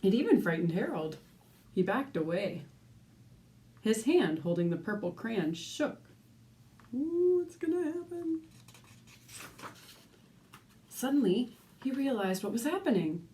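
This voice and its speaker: Animated woman reading a children's storybook. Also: fairly high pitch, takes it slowly, pauses often. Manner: animated, with asides and questions to young listeners. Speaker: teacher reading a picture book aloud. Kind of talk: expressive children's storybook read-aloud.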